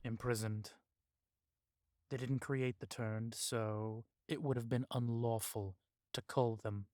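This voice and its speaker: An actor playing a stern, cautious vampire police chief in an audio drama, speaking gravely with some Spanish words mixed in. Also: male voice, medium pitch, fairly even intonation, slow pace, warm tone, dry recording, studio quality, lightly acted. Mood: measured, watchful.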